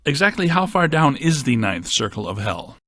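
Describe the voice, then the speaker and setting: A man playing a brainy, deadpan scientist ghost hunter in a video game, with precise technical wording. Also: mid-range voice, moderate pace, no echo. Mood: deadpan, analytical. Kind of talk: scripted video game voice acting.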